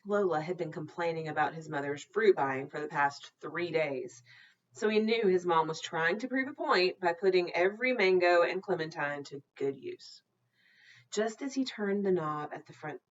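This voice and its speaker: A woman reads a children's novel aloud in a fairly low voice. Her delivery is expressive and deliberate, like a storytime reader. The recording is a little dull and lo-fi.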